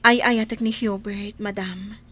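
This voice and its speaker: A woman with an Ilocano Filipino accent playing a sincere, steadfast young student in love, polite and calmly firm, in a radio drama. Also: medium pitch, unhurried. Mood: earnest, resolute.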